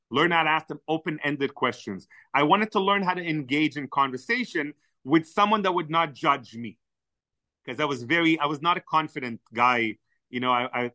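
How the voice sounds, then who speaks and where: A man's voice: fairly high pitch, dry recording. A man whose first language is Hebrew, telling enthusiastic stories as a podcast guest. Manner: animated, enthusiastic storyteller. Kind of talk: storytelling in conversation.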